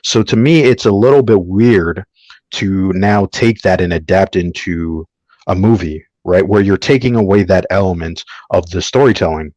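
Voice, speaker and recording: A man's voice: sits low, normal speed, a little mellow, no room sound, highs cut off. A man on a podcast panel giving his opinion in casual discussion, argumentative and often checking with "right?".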